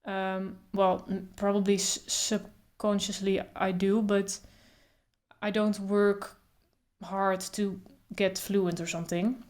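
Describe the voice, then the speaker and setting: Calm woman with a steady stutter. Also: mid-range voice. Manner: stutters openly, with repeated sounds and blocks; thoughtful, calm, speaks in short phrases with pauses. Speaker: vlogger. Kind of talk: casual talk to camera.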